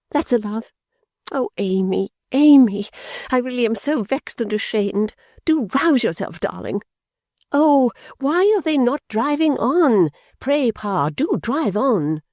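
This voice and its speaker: A man putting on a vain, shrill young woman. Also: darkish tone, dry recording, studio quality, exaggerated voice. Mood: fretful. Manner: haughty, scolding, fretful.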